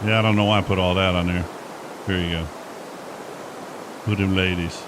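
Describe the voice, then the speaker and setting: A man's voice: deep voice, steady tone, moderate pace, noisy background. A middle-aged man hosting a radio morning show, casually telling personal stories into a close studio mic. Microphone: close studio microphone on boom arm.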